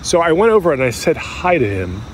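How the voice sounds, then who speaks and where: An actor playing a middle-aged American man who recalls his youth candidly, in a halting, conversational, self-deprecating way, as if interviewed for an audio drama. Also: on the high side, very animated, a little hiss or hum, rough recording. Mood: reflective, candid. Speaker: interview subject. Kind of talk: naturalistic audio drama acting as interview reminiscence.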